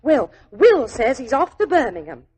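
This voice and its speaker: A woman's voice: high voice, big pitch swings, normal speed, darkish tone, husky, exaggerated voice. An actress playing a chatty, naive young working-class woman in a 1940s radio drama, with a London accent and a flustered, rambling, deferential manner. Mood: chatty, confiding. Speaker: actor in a radio detective drama.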